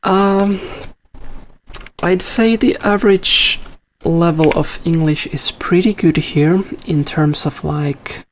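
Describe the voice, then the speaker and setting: Finland-Swedish man, Finnish native, slow unhurried live-coding chat, halting and thinking aloud. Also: on the high side, fairly even intonation, a little hiss or hum. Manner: hesitant, thinks aloud with many fillers. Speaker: live coder answering chat. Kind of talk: casual thinking-aloud commentary.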